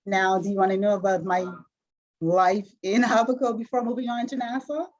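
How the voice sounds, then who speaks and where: A middle-aged woman from the Bahamas with a Bahamian accent, answering interview questions in a halting way, full of fillers and restarts. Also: mid-range voice, little pitch movement, darkish tone, highs cut off. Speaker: interview guest, retired sprinter.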